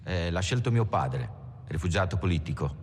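Deep, echoing robber's testimony. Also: male voice, animated, moderate pace. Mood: matter-of-fact. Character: German-born male robber, son of an anarchist, introducing himself. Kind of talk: dramatic monologue in a docudrama film. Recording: long hall reverb, faint noise.

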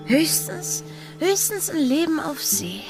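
An actress in a German radio drama playing a bold, freedom-hungry pirate's lover, speaking with earnest, intimate passion. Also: female voice, high voice, sing-song, slow pace, exaggerated voice. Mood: dreamy. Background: music.

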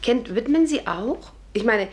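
A woman's voice: a little high, animated, measured pace. An actress playing a witty, reluctant young woman with a dry, sarcastic manner in a 1950s radio drama.

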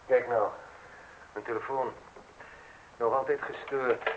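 Terse, suspicious gentleman played by a man, faint hiss. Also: mid-range voice, fairly even intonation, slow pace, lightly acted. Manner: terse, probing, drily ironic. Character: suspicious, curt, wealthy male villa owner, coolly sardonic. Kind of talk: radio play acting. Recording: hissy old radio play recording.

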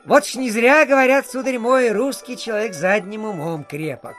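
A man's voice: sits high, normal speed, fairly bright tone, faint music behind. An actor playing a garrulous, self-important provincial official who rambles through his stories with filler phrases, in an audio drama. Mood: flustered, excited. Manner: digressive, full of filler phrases. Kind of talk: theatrical audiobook radio play.

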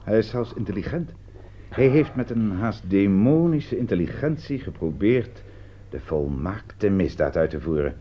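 A man's voice: on the low side, animated, a little slow, a little hiss or hum. A man acting in a radio play as a shrewd, accusing police commissioner, questioning and reasoning methodically and with emphasis. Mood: confident, emphatic. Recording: dull lo-fi radio recording.

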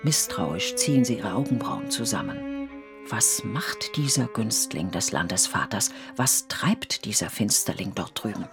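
Mid-pitched literary radio narrator. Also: lively intonation, music in the background. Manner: measured, poetic storytelling.

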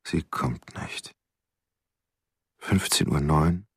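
An actor in a German radio drama playing a lonely, bitter, obsessive man, with a gravelly voice and gloomy, deliberate delivery. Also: a bass voice, no echo, whispered, studio quality, exaggerated voice. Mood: yearning, waiting. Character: lonely, bitter, obsessive male letter writer.

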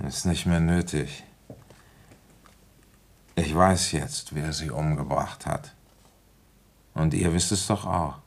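Very deep, gravelly, deliberate police inspector. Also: male voice, steady tone, exaggerated voice. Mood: accusing, ironic. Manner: deliberate, reflective, halting while thinking aloud. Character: weary, shrewd male police inspector, brooding and deliberate. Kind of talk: German radio play acting, first-person narration and dialogue.

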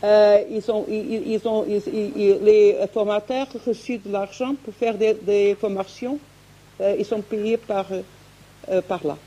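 A woman with a Portuguese accent, whose first language is Portuguese, answering questions at an academic conference in halting speech full of fillers, restarts and pauses as she searches for words. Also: medium pitch, fairly even intonation, mellow, low-quality recording.

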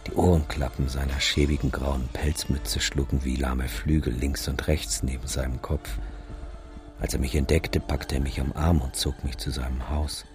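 A sardonic, sombre photographer tells his memories in a very deep voice. The delivery is measured and laconic, in radio drama style over clear music. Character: sombre, sardonic male photographer recalling a disaster.

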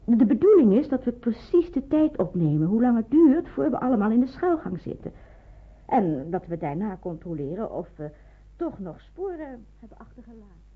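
Brisk instructor in hiding, husky voice, businesslike, explanatory, radio drama, muffled narrow-band recording. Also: female voice, a little high, very animated. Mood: brisk, instructive. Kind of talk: Dutch radio play acting.

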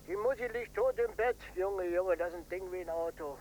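An older man playing a stubborn, defensive widowed father in a radio drama, blunt and colloquial, with a gravelly, husky voice. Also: sits high, a little fast, darkish tone, a little hiss or hum, close to an everyday voice, low-quality recording. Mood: matter-of-fact. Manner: blunt, colloquial, swinging between offhand and touchy. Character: gruff widowed old father, stubborn, defensive, matter-of-fact.

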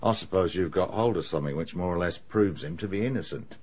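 An actor in a radio drama playing a sceptical colleague who questions in a dry, conversational way. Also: male voice, deep voice, fast talker, darkish tone, breathy edge. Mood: sceptical, probing.

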